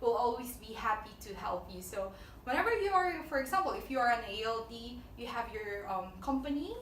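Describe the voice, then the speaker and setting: A woman's voice: fairly high pitch, animated, a little fast, a bit bright, a little hiss or hum. A woman from Japan chatting casually and giving advice, hesitant with many fillers and restarts. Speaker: company staff guest. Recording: echoey room, mic at a distance.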